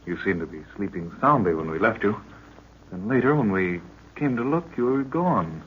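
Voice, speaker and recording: An actor playing an earnest, devoted young adventurer in old radio style, polite and full of old-fashioned exclamations. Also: male voice, lowish voice, animated, moderate pace, close to an everyday voice. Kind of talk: 1940s radio acting. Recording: muffled, noisy old radio recording.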